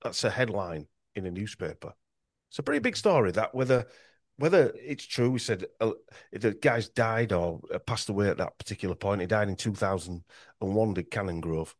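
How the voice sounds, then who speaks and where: Low-voiced Yorkshire man, rambling. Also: expressive, normal speed, no echo, clean studio sound. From Yorkshire, England. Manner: rambling with frequent restarts and stumbles. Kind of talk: podcast conversation.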